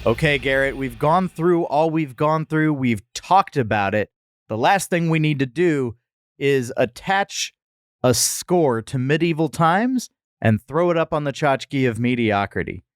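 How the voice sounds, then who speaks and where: A man's voice: a little high, expressive, a little slow, no echo, studio quality. A man co-hosting a podcast in casual, playful, jokey review banter. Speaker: podcast co-host.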